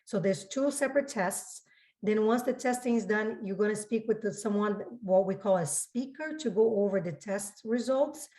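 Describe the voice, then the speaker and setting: A woman working as a school registrar gives informal spoken explanations to parents, often repeating and rephrasing to be clear. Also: medium pitch, moderate pace, mellow.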